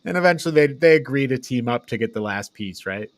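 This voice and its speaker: A man with a medium-pitched voice in a close, dry recording. He co-hosts a casual film discussion, talking in a conversational way and often voicing imagined remarks as asides. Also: lively intonation, quick pace, dry recording. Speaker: podcast co-host.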